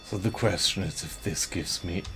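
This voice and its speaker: A German man with a German accent, chatting with viewers as he streams a game, relaxed and often quiet. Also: low-pitched, moderate pace, background noise. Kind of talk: casual gameplay commentary, chatting with viewers.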